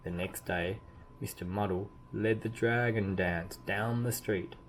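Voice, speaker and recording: A man reading a children's story aloud expressively, with deliberate emphasis and drawn-out key words. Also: lowish voice, fairly even intonation, soft background music, low-quality recording. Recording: clearly audible background noise.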